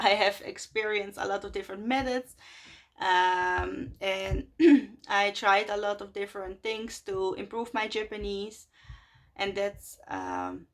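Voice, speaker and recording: A woman in her twenties from Russia, a native Russian speaker talking casually in English to her vlog audience, with many fillers and soft laughs between phrases. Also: medium pitch. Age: 20s. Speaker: vlogger. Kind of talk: casual chat to camera.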